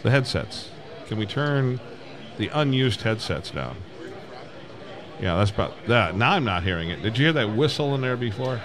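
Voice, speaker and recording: A man's voice: mid-range voice, big pitch swings, normal speed. A man hosting a casual live radio show, chatting in a folksy, rambling way that circles back and repeats. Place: live broadcast from a busy ham radio convention. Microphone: broadcast microphone with PA amplifier. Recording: crowded convention floor, busy background chatter.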